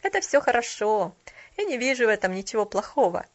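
A woman's voice: fairly high pitch, big pitch swings, a little fast, dull audio. A woman voicing a tired young shop cashier who speaks coolly with a bitter edge. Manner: cool, tired, faintly bitter.

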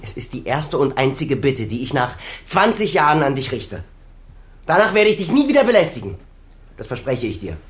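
An actor playing an embittered, determined adult son in a German radio crime drama, speaking in a measured, guarded, sardonic way. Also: male voice, medium pitch, sing-song, husky. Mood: pleading, insistent.

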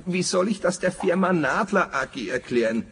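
An actor playing an officious, anxious forester in a radio play, hesitant and plaintive. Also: male voice, high-pitched, lively intonation, moderate pace, noisy background. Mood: worried, plaintive. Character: fussy, officious, anxious male forester, self-pitying company man.

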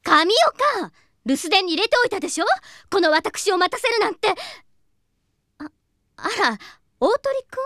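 A woman's voice: very high voice, animated, normal speed, long pauses, sharp tone, exaggerated voice. A woman playing a proud rich teenage girl in an anime audio drama, imperious, with refined ladylike diction. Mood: haughty.

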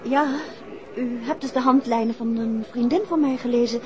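An actress playing a detective's inquisitive, affectionate wife in a 1960s radio drama, well-spoken and theatrical. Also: female voice, on the high side, normal speed, close to an everyday voice. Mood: politely probing. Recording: dull old radio recording, crowd noise.

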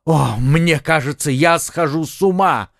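A man's voice: a little high, measured pace, creaky, dry recording. An ardent young Gascon swordsman exclaims with passion in a slightly raised voice, as a male narrator reads him. The sound is dry and close-miked. It is an audiobook reading. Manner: impassioned, exclaiming.

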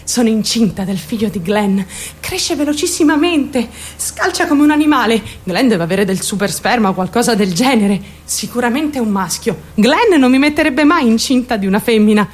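A woman's voice: fairly high pitch, animated, normal speed, husky. An actress playing an obsessive, unstable young woman in a theatrical radio monologue, confiding and blunt, shifting abruptly and seeking agreement. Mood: proud, unhinged.

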